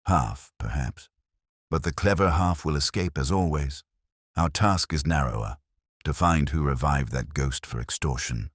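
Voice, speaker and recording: A man voicing a shrewd, self-assured gentleman detective in an audiobook, with precise, formal pronouncements. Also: extremely low pitch, a little slow, no room sound, studio quality. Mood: cryptic, assured.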